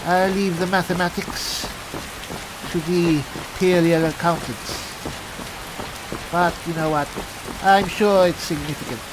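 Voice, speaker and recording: A man doing in-character roleplay commentary on a game, musing haltingly as if talking to himself. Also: high-pitched, fairly even intonation, noisy background. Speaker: gameplay host, player in character. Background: rain and thunder from the game.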